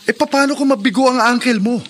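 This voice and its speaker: An actor with a Filipino accent playing a worried, devoted young boyfriend in a horror radio drama. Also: male voice, very high-pitched, brisk, a bit bright.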